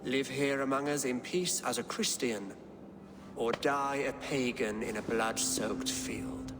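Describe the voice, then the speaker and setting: Guy acting stern pious old-world king, video game, talks real slow n formal, echoey hall w music. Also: medium pitch, lightly acted. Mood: grave, stern. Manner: formal, measured, solemn. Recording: reverberant hall, music behind.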